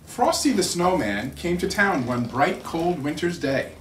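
A middle-aged man reading a children's storybook aloud in an expressive, deliberate storytelling manner. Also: on the high side, slight background noise. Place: living room by a fireplace, indoors. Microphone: distant camera. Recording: roomy living room, distant mic.

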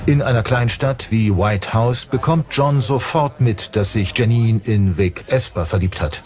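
A man's voice: on the low side, unhurried, a little mellow. Male true-crime narrator over music. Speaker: documentary narrator. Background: music behind the voice.

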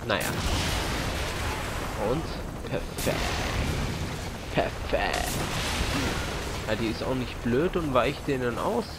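Young man over loud game noise. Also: mid-range voice, expressive. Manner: rambling, halting. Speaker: let's play host. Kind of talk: casual gameplay commentary. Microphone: headset. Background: video game gunfire, vehicles, helicopter.

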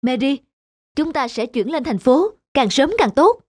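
A woman's voice: high voice, expressive, measured pace, music in the background. A woman voicing an earnest young husband in a cartoon drama, with a heartfelt delivery. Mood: eager.

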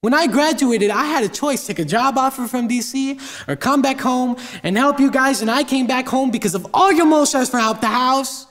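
A frustrated young son with a very high voice, acted by a young man. He reproaches his father in the manner of a stage drama. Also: normal speed, few pauses, fairly bright tone. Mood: reproachful. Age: teens to young adult.

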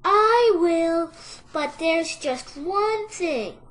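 A woman's voice: extremely high pitch, expressive, very slow, fairly bright tone, voice pushed up, heavily acted. A woman voicing a small crafty piglet in a storybook, meek and coaxing with a squealing streak. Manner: sighing, coaxing, mock-helpless.

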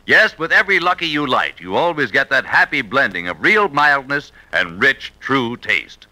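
An American man as a vintage radio announcer, delivering an emphatic, polished commercial pitch. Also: big pitch swings, moderate pace, bright, forceful tone, low-quality recording.

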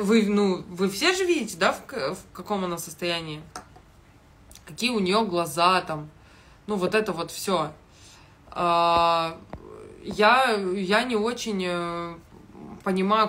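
A young woman giving casual video commentary in a blunt, halting, heavily sweary manner, recorded on a phone in a kitchen. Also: on the low side, a bit bright. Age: young adult. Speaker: reality show commentator. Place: small kitchen indoors. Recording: noticeable background noise.

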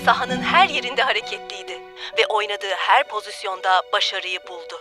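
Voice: medium pitch, a little fast, music in the background, lightly acted. A performer playing a grand documentary narrator in a cartoon, praising football legends in scripted declarative lines. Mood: solemn, praising.

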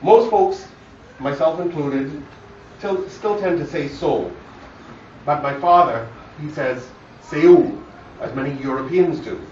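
A man's voice: on the high side, slow, a little mellow, slight background noise. Distant, echoey Barbadian man giving a eulogy. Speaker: son delivering a eulogy for his father. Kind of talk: scripted memorial speech to an audience. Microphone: phone camera far from the speaker. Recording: distant, muffled phone recording, echoey room.

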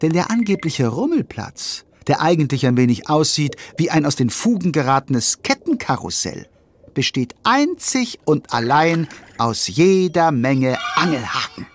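A man's voice: a little high, very animated, unhurried. A man narrating a children's audio play in a wry storytelling manner, speaking to the listener.

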